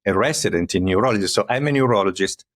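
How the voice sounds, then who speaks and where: Italian-accented man, low and dry. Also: fast, no echo. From Italy. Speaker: neurologist and neuroscientist guest. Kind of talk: conversational interview.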